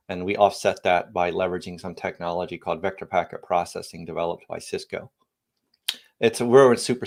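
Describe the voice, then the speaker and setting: Very low male voice; tech-company founder in interview, loose and emphatic, many self-corrections. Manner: rambling, frequent restarts, emphatic bursts. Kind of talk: podcast interview, casual technical talk.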